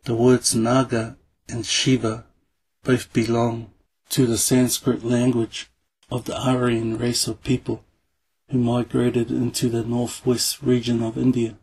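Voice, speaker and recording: A man reads his script slowly and deliberately. His voice is very breathy and husky. He keeps it level, with little rise and fall. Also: medium pitch, monotone, darkish tone, rough recording. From Samoa, raised in New Zealand. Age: 40s. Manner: measured, deliberate, spells out terms. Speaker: YouTube presenter. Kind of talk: read-aloud scripted narration.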